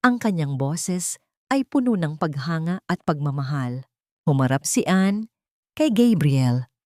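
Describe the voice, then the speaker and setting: A woman narrating a dramatic love story in a measured, emotive storytelling style. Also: low-pitched, expressive, mellow, no echo, studio quality.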